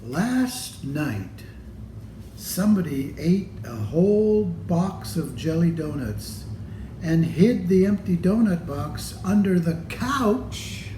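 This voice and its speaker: A man reads a picture book aloud for children. His delivery is very slow and expressive, addressed straight to young listeners. The room is echoey, with clear noise behind the voice. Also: high-pitched. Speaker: storybook reader. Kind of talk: children's read-aloud storytelling.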